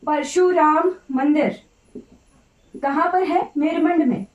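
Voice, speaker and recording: A woman's voice: high voice, takes it slowly, a little mellow, muffled recording. An Indian woman teacher giving an informal online class lecture, mixing in English terms, repeating points and checking in often, her voice slightly off. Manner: repetitive, instructive, often checking with "right?". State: voice slightly off today. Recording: roomy echo, distant microphone.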